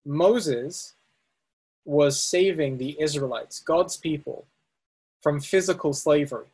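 A man's voice: on the high side, takes it slowly, a little mellow, breathy edge. A man teaching a Bible study session, explaining step by step with fillers and restarts, speaking to slides on a screen. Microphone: presentation recording with on-screen slides.

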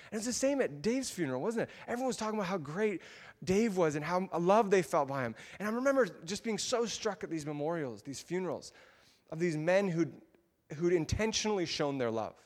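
Animated male preacher in a reverberant hall. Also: fast. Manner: animated, acts out quotes with big pitch jumps. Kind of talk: storytelling sermon.

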